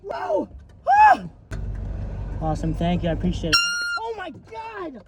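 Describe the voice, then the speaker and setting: A young man hosting a prank video, bantering casually with friends, swearing and sometimes breaking into yells. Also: extremely high pitch, measured pace. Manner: profane, excitable, swings into yelling. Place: in a car at drive-thrus. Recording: very noisy car and street noise.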